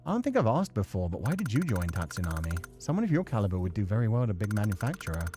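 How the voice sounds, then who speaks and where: Someone voicing an eager young male junior, lively pitch. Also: very fast, a little hiss or hum, natural voice. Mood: earnest, caring. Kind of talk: visual novel character read-aloud.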